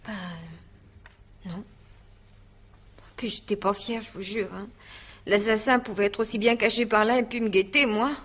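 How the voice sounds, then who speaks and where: A woman's voice: mid-range voice, fairly even intonation, normal speed, long pauses, a bit bright, close to an everyday voice. An actress playing a naive, chatty eighteen-year-old housemaid in a French radio mystery, stammering and rambling as she gives testimony. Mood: chatty, earnest. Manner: stammering, rambling, emphatic, colloquial.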